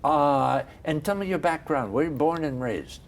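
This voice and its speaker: A man's voice: fairly high pitch, lively intonation, normal speed. A man hosting a studio interview, asking eager, encouraging follow-up questions. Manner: curious, encouraging, asks short follow-ups. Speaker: TV interview host.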